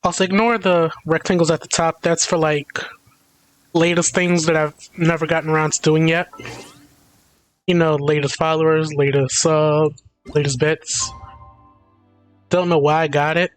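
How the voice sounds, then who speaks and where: Male livestreamer, lightly high pitch, casual gaming chat, faint music behind. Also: little pitch movement, moderate pace, long pauses, low-quality recording.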